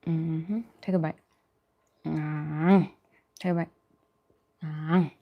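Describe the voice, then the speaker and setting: A woman's voice: low-pitched, flat delivery, very slow, highs cut off. A woman hosting a food channel, chatting casually and informally with viewers during a mukbang livestream.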